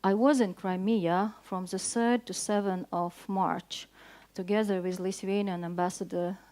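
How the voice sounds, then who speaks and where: A woman with a Latvian accent speaking as a panelist at a conference, halting often with fillers and restarts. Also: medium pitch, fairly even intonation, warm tone. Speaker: diplomat panelist. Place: conference hall.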